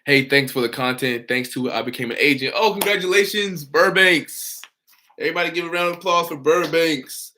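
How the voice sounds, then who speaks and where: A man's voice: a little high, a little fast, sharp tone. A young man, hosting a casual livestream Q&A about his insurance work. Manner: upbeat and encouraging, reads out and answers viewer comments. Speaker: insurance broker and livestream host.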